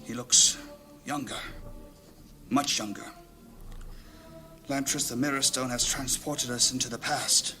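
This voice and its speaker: Bright-voiced, solemn lord. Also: male voice, medium pitch, fairly even intonation, faint music behind. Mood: solemn wonder. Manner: deliberate, formal, portentous. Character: solemn, commanding lord, observant ghostly time traveller. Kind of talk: fantasy audio drama acting.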